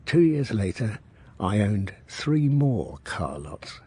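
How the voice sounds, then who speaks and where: An actor playing a boastful middle-aged working-class car dealer, reminiscing colloquially in a radio drama monologue. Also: male voice, lowish voice, sing-song, slow, dark tone, slightly rough, heavily acted. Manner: colloquial, matter-of-fact storytelling.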